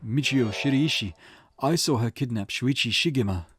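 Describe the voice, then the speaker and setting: A man's voice: medium pitch, moderate pace, a bit bright, lightly acted. A man voicing a frightened, pleading male client with a nervous stammer, reading visual novel dialogue. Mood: fearful, insistent.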